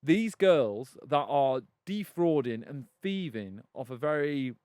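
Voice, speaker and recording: A man's voice: mid-range voice, animated, takes it slowly, a little mellow, no room sound. A man co-hosting an advice podcast, chatty and emphatic, getting indignant. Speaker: podcast co-host. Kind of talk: casual advice chat.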